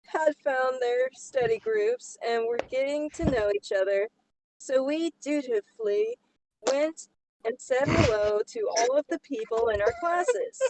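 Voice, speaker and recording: A woman's voice: a little high, noisy background. A woman reading an online story aloud as a podcast co-host, in a casual way with sarcastic asides.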